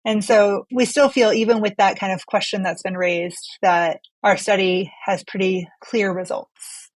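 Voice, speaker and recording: A woman's voice: medium pitch, steady tone, unhurried, dry recording, low-quality recording. A woman physician researcher speaking as an interview guest, earnest and emphatic. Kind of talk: conversational expert interview.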